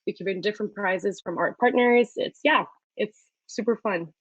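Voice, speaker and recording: Woman host, upbeat and chatty, middle pitch, dry muffled call audio. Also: normal speed, breathy edge, no room sound. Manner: upbeat, with frequent fillers. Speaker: webinar host. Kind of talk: presenting a student startup hub.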